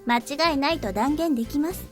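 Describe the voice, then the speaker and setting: A woman voicing a polite, big-sisterly young maid who looks like a teenager, gently chiding in a Japanese visual novel. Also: high voice, racing, natural voice. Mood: earnest, friendly. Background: music.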